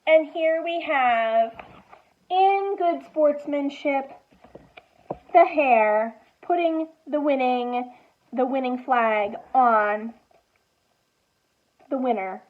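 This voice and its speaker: A woman with a high voice reads a picture book aloud as a teacher would to small children. She takes her time, adding expressive comments and simple questions for the listeners. The recording sounds muffled and thin. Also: very slow, long pauses. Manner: expressive, animated storytelling with gasps and exclamations; child-directed, asking prompting questions.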